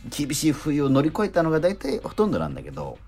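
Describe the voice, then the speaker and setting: A man's voice: on the high side, huge pitch swings, racing, a little mellow, faint music behind. A man co-hosting a radio show, chatting casually and telling a rambling story full of fillers. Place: radio studio.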